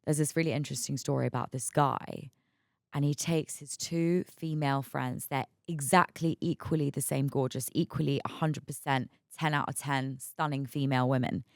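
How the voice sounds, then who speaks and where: Very low, gravelly-voiced young woman. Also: steady tone, normal speed, no room sound, studio quality. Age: mid-twenties. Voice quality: raspy. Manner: chatty and candid, laughs often, repeats words. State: hungover. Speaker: podcast host. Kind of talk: casual solo podcast chat.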